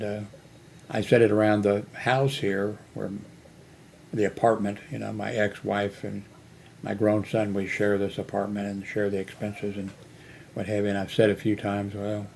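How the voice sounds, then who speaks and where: A man's voice: on the low side, a little mellow, a little hiss or hum. A man in his eighties talking in a rambling personal monologue, full of fillers and restarts. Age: 80s. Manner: meandering, many fillers and restarts. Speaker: channel host.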